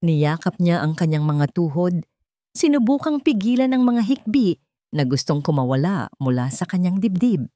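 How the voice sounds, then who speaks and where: A woman with a Filipino accent reads a scripted dramatic story in measured, short phrases. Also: on the low side, very animated, dark tone, no room sound, studio quality.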